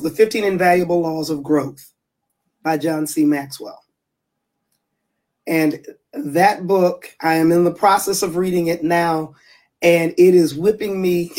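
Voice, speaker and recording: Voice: medium pitch, a little mellow. A public speaker and trainer talking as a podcast guest over a remote call, earnest and repeating key phrases for emphasis. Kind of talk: casual interview chat.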